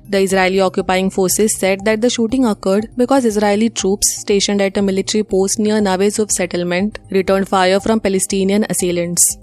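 A woman's voice: medium pitch, a little mellow. A woman with an Indian accent presents scripted news updates as a newsreader.

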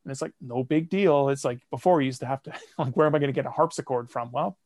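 A man's voice: medium pitch, fast talker, dry recording. Chatty man with a dry, close sound. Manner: relaxed and chatty, with frequent "like" and light laughs. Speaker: guest, comedy fiction podcaster and songwriter. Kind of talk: casual interview chat.

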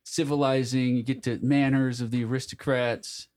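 A man with a medium-pitched voice acts as a casual podcast co-host. He banters in a jokey, slangy way, chipping in with short phrases and quick remarks. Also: little pitch movement, a little mellow.

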